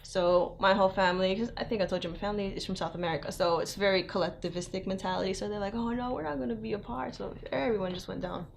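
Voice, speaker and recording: A woman's voice: mid-range voice, fairly even intonation, fast, gravelly, few pauses, slight background noise. A young woman from New York who grew up in South Florida, a model being interviewed, talking casually and saying "like" often. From New York, raised in South Florida. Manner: chatty, peppers speech with "like", laughs easily. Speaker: guest model.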